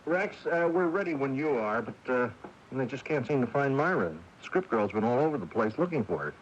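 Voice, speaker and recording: A man's voice: very animated, a little fast, slight background noise, natural voice. An actor playing a flustered film crew man who hesitates and repeats himself, in a radio drama. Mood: worried. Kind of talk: radio mystery drama acting. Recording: dull, rumbly 1980s radio tape.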